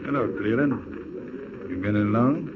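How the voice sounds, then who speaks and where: An actor playing a big, tough, suspicious gang boss in a gruff, interrogating manner, in 1940s radio style. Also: male voice, medium pitch, normal speed, close to an everyday voice. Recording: muffled noisy 1940 radio recording.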